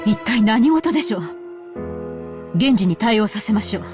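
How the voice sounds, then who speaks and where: A woman's voice: medium pitch, lively intonation, fast talker, over music, close to an everyday voice. An actress playing a proper, formal middle-aged lady of a wealthy family in a Japanese visual novel. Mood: composed. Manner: polite, composed, formal.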